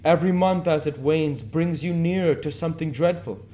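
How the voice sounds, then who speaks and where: A man voicing a languid, persuasive aristocratic gentleman from a novel, lecturing emphatically on how one should live. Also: fairly high pitch, normal speed, natural voice. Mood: ominous, urgent. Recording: noticeable background noise.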